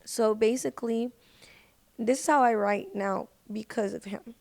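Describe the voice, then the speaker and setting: A woman's voice: fairly high pitch, a little slow, gravelly, a little mellow. A woman speaking casually and earnestly as a guest in a podcast conversation. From United States. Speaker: podcast guest, singer and social media personality.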